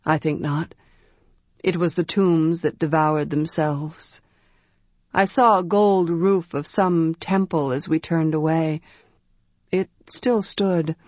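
A woman reading an audiobook, voicing a gentle, patient male wizard with dry, quiet humor. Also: deep voice, steady tone, slow, dry recording. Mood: calm, wry. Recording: dry, slightly dull audiobook recording.

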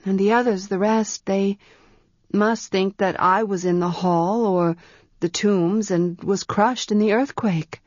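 A woman's voice: medium pitch, fairly even intonation, unhurried, darkish tone, no echo, natural voice. A woman reading an audiobook, voicing an earnest young former priestess who asks breathless, wondering questions. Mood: anxious. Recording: dry, slightly dull audiobook recording.

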